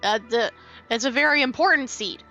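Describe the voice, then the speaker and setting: A woman's voice: high voice, brisk, sharp tone, dull audio. A woman live streamer reading game dialogue aloud on stream. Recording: game music behind.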